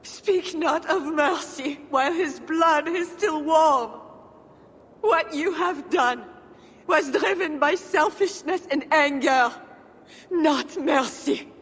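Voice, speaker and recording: A woman's voice: high-pitched, slow, fairly bright tone. An actress playing a grieving, bitter queen with dignity, solemn and reproachful, in a video game. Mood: cold reproach. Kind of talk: dramatic video game cutscene acting. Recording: long hall reverb with noise.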